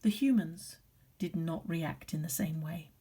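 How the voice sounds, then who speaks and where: A woman telling a story to young children like a primary teacher, expressive and deliberate, sometimes hushed. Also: low voice, breathy edge.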